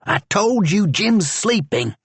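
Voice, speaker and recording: A jumpy young country fellow with a tight, high, strained voice, as a male storyteller puts him on. His pitch swings widely and his voice stays dry and close. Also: sing-song, takes it slowly, slightly rough, dry recording, exaggerated voice. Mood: agitated. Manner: high, strained, rural talk. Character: nervous young man with a pistol, stubborn.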